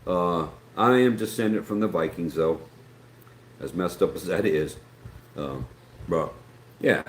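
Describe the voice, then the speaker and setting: A middle-aged man from West Virginia with an Appalachian accent, chatting casually and rambling as he hosts a live stream and reads out viewer comments. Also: low-pitched. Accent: Appalachian American. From West Virginia, USA. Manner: rambling, folksy, reads chat aloud. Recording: echoey room with faint background noise.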